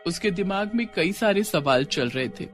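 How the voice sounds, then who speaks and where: A man narrates a scripted story in a slightly high-pitched voice, with faint music playing underneath. He delivers it as a storyteller reading from a script. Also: lively intonation, moderate pace.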